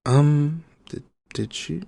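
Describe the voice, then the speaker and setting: A man's voice: a little high, slow, gravelly, mellow, husky, no room sound. A man from Mexico co-hosting a comedy podcast, bantering playfully, stretching words for emphasis and dropping in Spanish. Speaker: podcast co-host.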